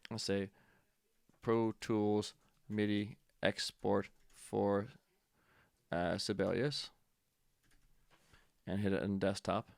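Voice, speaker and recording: A man giving a casual software tutorial, informal and offhand, thinking aloud as an instructor demonstrating step by step. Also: fairly low pitch, little pitch movement, slow, creaky, pauses often, dry recording.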